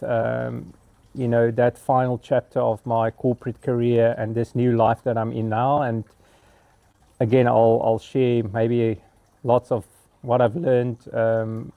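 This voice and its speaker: A South African man in his fifties with an Afrikaans accent, speaking in an earnest, halting personal monologue with frequent pauses. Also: mid-range voice, dark tone, no room sound. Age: 50s. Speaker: vlogger.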